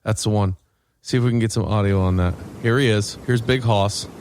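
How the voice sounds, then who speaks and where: A man's voice: lowish voice, fairly even intonation, fairly quick, darkish tone, a little hiss or hum. A man hosting a podcast, chatting and telling stories casually with a dry, joking delivery. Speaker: podcast host. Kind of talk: casual chat and storytelling.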